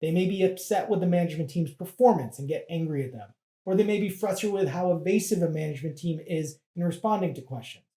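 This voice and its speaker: A presenter with a soft, mellow tone explains things step by step in a measured, plain-spoken manner. The pitch sits in a middle range. The sound is noticeably roomy, with echo around the voice. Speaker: presenter of an investing guide. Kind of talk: explanatory educational narration.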